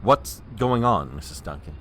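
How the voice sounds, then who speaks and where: A prim, nervous political aide in a comic audio drama. His formal speech trips into stammers and his pitch leaps about in a lively way. There is faint noise behind him. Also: normal speed. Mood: polite, wary. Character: flustered, proper male chief of staff. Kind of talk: comedic audio drama acting.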